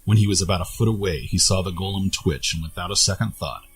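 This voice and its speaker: A man's voice: low voice, quick pace, soft background music, low-quality recording. A man reading scripted fantasy story narration as the narrator of an audio tale.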